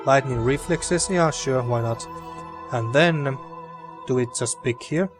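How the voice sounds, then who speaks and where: A man's voice: medium pitch, a little slow, music in the background. Man with a dry, fading voice. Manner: relaxed, thinking aloud, mildly sweary. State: dry throat, losing voice. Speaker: gaming video host. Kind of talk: casual gameplay commentary.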